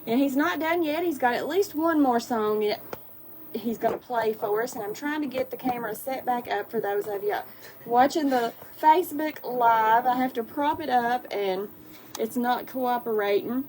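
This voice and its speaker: A woman's voice: fairly high pitch, normal speed, a little mellow, slight background noise, low-quality recording. Chatty woman radio host. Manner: friendly, rambling, filler-heavy. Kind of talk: live radio chat. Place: radio station studio.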